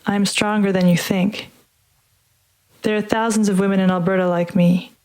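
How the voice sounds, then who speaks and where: A woman giving a measured, earnest scripted reading as narrator of a community story project. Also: medium pitch, little pitch movement, darkish tone. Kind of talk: scripted reading over music. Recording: music playing under the voice.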